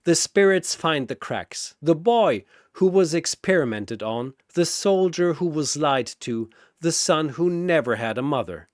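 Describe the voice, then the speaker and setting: A man's voice: on the high side, lively intonation, measured pace, hardly any pauses, no echo, clean studio sound. A man narrating a scripted fantasy battle theatrically, often in short, clipped phrases.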